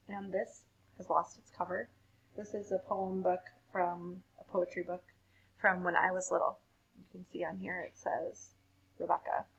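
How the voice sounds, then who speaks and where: A woman reading children's poems aloud and chatting casually in an animated, playful way. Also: medium pitch, vocal fry, slightly rough, highs cut off. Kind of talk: casual chat and expressive read-aloud of children's poetry.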